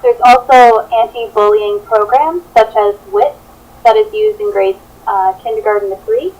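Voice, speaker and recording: A woman's voice: mid-range voice, fairly even intonation, moderate pace, a little mellow, muffled recording. A young adult woman reading out a scripted presentation as a student teacher. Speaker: student teacher presenting a children's book project.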